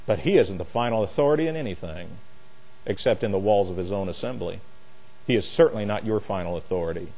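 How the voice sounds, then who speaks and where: A man's voice: lowish voice, lively intonation, quick pace, mellow, slightly rough, poor audio. A man preaching as a pastor in a Bible lesson, speaking in an emphatic, opinionated, plain-spoken and folksy way. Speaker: pastor teaching a Bible study. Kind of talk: sermon, expository Bible teaching.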